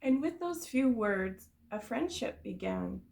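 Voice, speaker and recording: A woman reading a storybook aloud for young children, in the expressive, explanatory way of a kindergarten teacher. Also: on the high side, slow, vocal fry.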